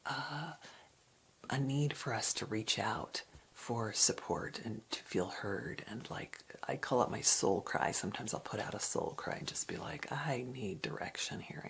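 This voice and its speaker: A woman giving an ASMR card reading in a soft, breathy whisper, with a gentle, reflective, unhurried manner. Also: a bass voice. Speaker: card reader.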